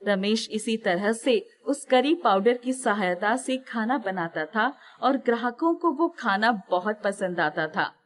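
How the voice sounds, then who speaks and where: A woman reading scripted moral-story narration as a storyteller. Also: mid-range voice.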